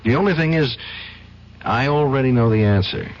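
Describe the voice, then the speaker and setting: An actor playing a troubled, agitated defence lawyer with a halting, strained delivery in a 1970s radio drama. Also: male voice, medium pitch, lightly acted. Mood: uneasy, earnest. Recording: dull, hissy old radio broadcast.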